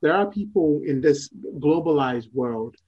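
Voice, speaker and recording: A middle-aged man from Ghana, speaking as a guest in an interview, measured and thoughtful with frequent pauses. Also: fairly high pitch, lively intonation, husky, dull audio. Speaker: guest, entrepreneur. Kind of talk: interview conversation.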